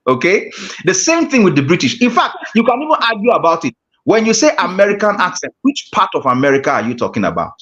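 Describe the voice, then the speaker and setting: A man with a Nigerian accent leads a speaking class in a measured way, circling back to repeat his key phrases. His voice sits a touch high. The audio is muffled and lo-fi. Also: sing-song, fairly bright tone. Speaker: guest speaking coach. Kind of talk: teaching a public-speaking class.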